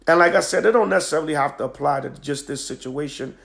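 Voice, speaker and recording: A man with a hoarse voice from a cold, teaching scripture in a livestream from home at night, emphatic and preacherly with frequent "all right?" checks. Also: a little high, fast talker, a bit bright. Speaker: teacher of a religious group. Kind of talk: live-streamed scripture lesson. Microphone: phone on a stand.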